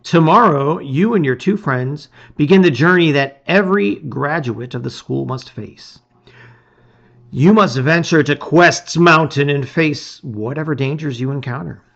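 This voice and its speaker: A middle-aged man presenting and reading aloud with expressive emphasis, his phrases often trailing off softly. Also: a little high, measured pace, creaky. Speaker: host reading a gamebook aloud. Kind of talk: casual intro and dramatic read-aloud of storybook narration. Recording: slightly dull, lo-fi sound.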